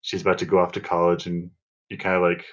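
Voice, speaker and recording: A man's voice: low-pitched, completely flat delivery, fast talker, a bit bright, dull audio. A man hosting a casual podcast chat in a loose, rambling way. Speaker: podcast host.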